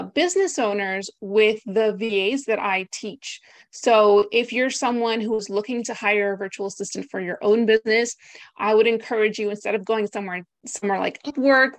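An upbeat American woman in her thirties, chatting as a podcast guest and dropping "like" and "right?" as she goes. Her pitch sits mid-range for a woman. The sound is close and dry, with no room echo. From United States. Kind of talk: podcast interview.

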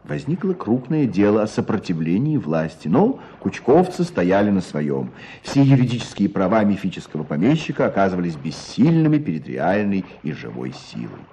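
An actor playing a storyteller in a radio play, narrating village hardship with expressive, deliberate phrasing. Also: male voice, low voice. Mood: grave.

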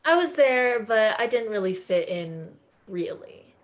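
A woman's voice: medium pitch, expressive, a little slow. A woman from California, a podcast guest talking in an effusive, earnest way in a casual interview chat.

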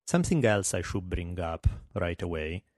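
Podcast host, middle-aged man, thick Italian accent, fairly low voice, wry and self-deprecating. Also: lively intonation, moderate pace, darkish tone, no echo, clean studio sound. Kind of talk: solo podcast monologue.

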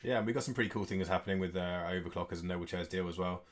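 A man's voice: low-pitched, racing. A man giving a casual, unscripted business update as a host, speaking informally and a little hesitantly. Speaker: esports organisation co-owner hosting an update stream.

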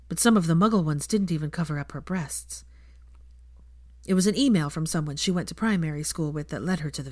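A woman's voice: low voice, a little mellow, no room sound, clean studio sound. A woman reading fiction aloud as scripted audiobook narration.

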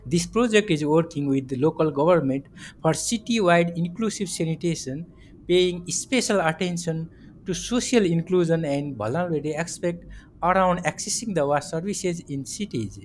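A man, a project coordinator speaking in a measured, methodical way on a formal panel. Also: on the high side, slight background noise. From Nepal.

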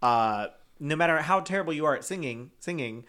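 Male co-host, casual review chat, blunt and animated, slightly high voice, swears freely. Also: fairly quick. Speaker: podcast co-host reviewing a TV show.

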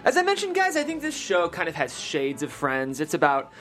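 Male host, lively pitch swings, upbeat chatty delivery, script narration, music behind. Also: fairly quick. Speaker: show host and stage-direction reader. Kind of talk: chatty hosting and read-aloud script narration.

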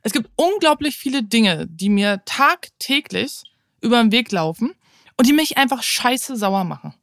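A woman's voice: on the high side, sing-song, normal speed, a bit bright, no echo, studio quality. A woman aged thirty chatting casually as a podcast co-host, frank and informal, slipping English slang into her talk. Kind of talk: casual chat.

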